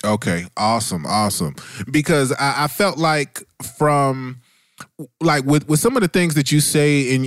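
A man's voice: mid-range voice, no echo. Casual male radio host, dry close sound. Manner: informal, slangy, chummy address. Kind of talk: casual conversational interview.

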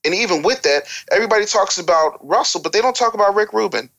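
Panelist, medium pitch, emphatic and lecturing, argues points through rhetorical questions. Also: animated, fast talker, fairly bright tone. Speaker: talk show panelist. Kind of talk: opinionated music-industry discussion.

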